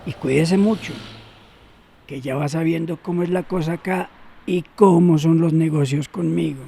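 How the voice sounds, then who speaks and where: Sly male gatekeeper, audio drama. Gravelly, mellow, insinuating menace. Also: a little high, steady tone. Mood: smug, veiled menace. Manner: insinuating, teasing, deliberate. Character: sly, menacing gatekeeper don Roberto, trading in secrets.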